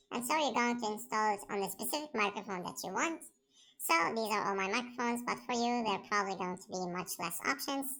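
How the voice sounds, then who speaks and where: A woman hosts a software tutorial, walking through each step in plain, repetitive instructional phrasing. Her voice is bright and forward, with a noticeable creaky fry running through it. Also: a little high, rough recording. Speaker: tutorial host.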